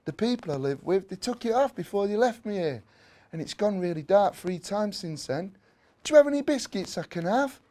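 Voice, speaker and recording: Storybook stray pup, male reader: raised pitch, innocent, childlike, pleading and timid. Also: lively intonation, brisk, dark tone. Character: small lost stray puppy, innocent and timid.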